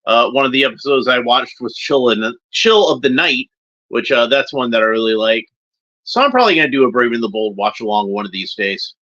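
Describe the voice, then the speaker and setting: Male watchalong host: bright, forward voice, mid pitch, loose rambling commentary, slightly muffled home recording. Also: lively intonation, a little fast. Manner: rambling, unscripted, with short observational remarks. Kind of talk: casual off-the-cuff watchalong commentary.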